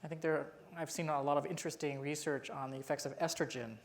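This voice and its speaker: Gravelly male researcher on a panel, measured and thoughtful. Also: on the high side, fairly even intonation. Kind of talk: public panel discussion. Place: auditorium.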